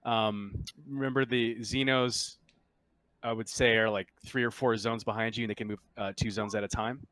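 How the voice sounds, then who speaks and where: Man, game master, bright punchy voice, dry close sound, conversational rules and scene narration. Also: fairly low pitch, fairly even intonation, moderate pace, no room sound. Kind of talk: explaining and narrating a tabletop game.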